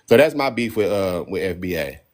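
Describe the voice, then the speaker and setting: A man's voice: low voice, sing-song, brisk, darkish tone. A man hosting a casual debate on a phone livestream, talking bluntly in profane street slang.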